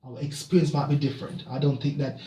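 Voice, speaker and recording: Halting man, echoey room. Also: medium pitch, fairly even intonation, mellow, recorded from a distance, a little hiss or hum. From Jamaica. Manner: halting, many fillers and restarts, building to emphatic repetition. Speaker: motivational speaker. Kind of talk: reflective motivational monologue.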